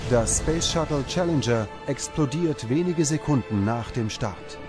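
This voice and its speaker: A man reading scripted documentary narration in a measured, dramatic manner. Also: medium pitch, lively intonation. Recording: music under the voice. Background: background music.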